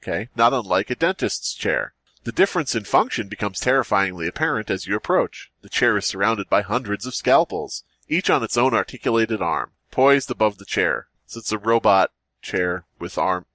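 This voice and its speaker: A man with an animated, swooping delivery in a very dry recording. He hosts a comedy podcast, moving between jokey, profane riffing and reading horror stories aloud. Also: fairly low pitch, moderate pace, no echo. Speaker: podcast host.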